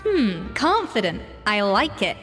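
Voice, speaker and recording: A woman playing an exacting, self-assured young head chef in a video game, speaking precisely and crisply. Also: mid-range voice, sing-song, measured pace, fairly bright tone, big-room sound. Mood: confident, challenging. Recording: music behind the voice. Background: game music.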